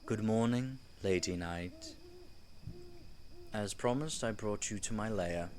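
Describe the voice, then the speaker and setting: A man's voice: fairly low pitch, takes it slowly, a little mellow, a little hiss or hum. A man playing a proud male dragon who guards his treasure, speaking in a dramatic, formal and wry manner in a scripted audio roleplay. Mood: smug, theatrical.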